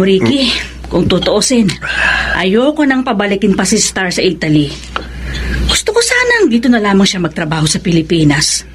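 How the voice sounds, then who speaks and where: A woman's voice: medium pitch, close to an everyday voice. A woman playing a hospitable, fretful middle-aged mother in a radio drama, chatty and homely, with a Filipino accent. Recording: noisy ambience behind the voice.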